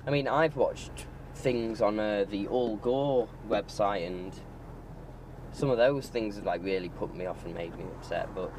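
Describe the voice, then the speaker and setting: A man's voice: fairly low pitch, unhurried, low-quality recording. A man giving a casual vlog monologue in a hesitant, self-correcting way while driving. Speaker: vlogger. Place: inside a moving car. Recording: loud car engine and road noise. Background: car and road noise.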